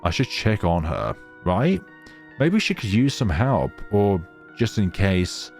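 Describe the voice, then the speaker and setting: A man's voice: on the low side, darkish tone. A man narrating a visual novel as a let's player, reading expressively and slipping into casual commentary.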